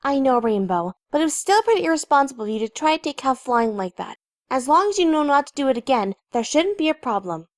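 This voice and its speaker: Casting: woman as responsible young cartoon pony, high voice, lively inflection, earnest and reasonable, close dry sound. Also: quick pace, no echo. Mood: gently firm. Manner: earnest, reasonable, gently firm. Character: sensible, caring, responsible young female unicorn pony leader. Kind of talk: animated fan audio drama.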